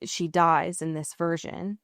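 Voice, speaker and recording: A woman's voice: on the low side, flat delivery, slow, a bit bright, no echo, studio quality. A woman podcast co-host chatting casually as she recaps a show, acting out bits of dialogue and swearing freely.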